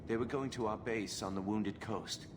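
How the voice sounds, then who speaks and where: An actor playing an earnest, idealistic templar conspirator in a fantasy game, pleading and sincere. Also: male voice, fairly low pitch, fairly even intonation, normal speed, noisy background, lightly acted. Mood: subdued, regretful. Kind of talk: dramatic video game acting.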